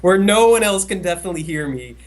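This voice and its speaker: A man's voice: high voice, lively intonation, quick pace. A man co-hosting a casual podcast chat, talking in a hesitant, rambling way with many restarts. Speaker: co-host.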